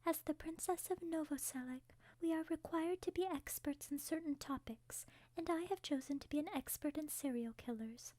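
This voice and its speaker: A woman playing a refined young princess who eagerly shares morbid interests in a soft, breathy half-whisper for an ASMR roleplay. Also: sits high, moderate pace, hardly any pauses, no room sound, natural voice. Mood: earnest, defensive.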